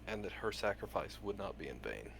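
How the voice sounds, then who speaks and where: Guy doing a solemn ninja villain turned mentor, lowish voice, slow careful phrasing, noisy room, tabletop game. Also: very animated, gravelly, a bit bright, exaggerated voice. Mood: solemn, earnest. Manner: deliberate, earnest, halting phrasing. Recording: noticeable background room noise.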